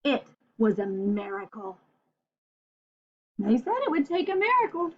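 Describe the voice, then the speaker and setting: A woman's voice: a little high, big pitch swings, unhurried. A woman reading a children's picture book aloud in a playful manner, putting questions to her young listeners. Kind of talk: animated children's read-aloud with asides to the listener. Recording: dull, lo-fi sound.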